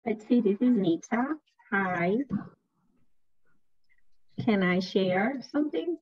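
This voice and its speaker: A woman's voice: medium pitch, expressive, slow, slightly rough, dry recording. Earnest woman, native Spanish speaker. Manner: earnest, repeats herself. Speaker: parent engagement advocate. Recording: dull, dry video call audio.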